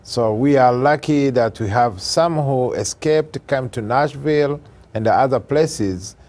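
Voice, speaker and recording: A man answering interview questions in a slow, earnest, deliberate way. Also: mid-range voice, darkish tone. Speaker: guest.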